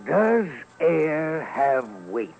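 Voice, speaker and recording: A man playing a learned, patient Italian professor in a vintage radio drama, speaking deliberately like a teacher. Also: a little high, big pitch swings, vocal fry, narrow, tinny audio, exaggerated voice. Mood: pondering, instructive. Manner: slow, deliberate, declaiming like a teacher. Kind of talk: 1950s radio drama acting. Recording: vintage radio broadcast, music behind.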